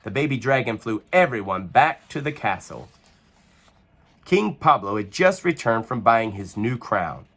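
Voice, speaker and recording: A man reading a children's picture book aloud with expressive, animated storytelling. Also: mid-range voice, measured pace.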